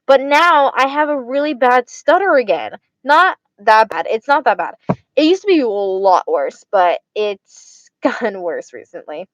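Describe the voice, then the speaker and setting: A young woman with an Arizona accent chats loosely with her viewers, her words slurring together. She stutters and circles back over her own phrases. Her voice sits a little high and sounds dry and close. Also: big pitch swings, measured pace, no room sound, muffled recording. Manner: stutters, slurs words, repeats herself. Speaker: livestreamer chatting with viewers. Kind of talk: casual chat.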